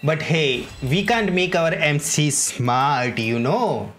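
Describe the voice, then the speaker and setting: A woman gives casual live commentary on a game. Her voice is low and she talks slowly, chatty and laid-back. Faint noise from the game sits behind her. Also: expressive. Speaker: game streamer. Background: game dialogue and ambience.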